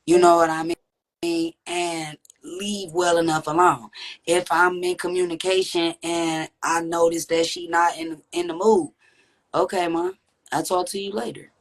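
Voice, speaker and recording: A woman with a deep, raspy voice, speaking candidly and reflectively as a podcast guest. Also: fairly even intonation, measured pace. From Texas. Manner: reflective, earnest, with frequent "you know what I'm saying". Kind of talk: candid conversational interview.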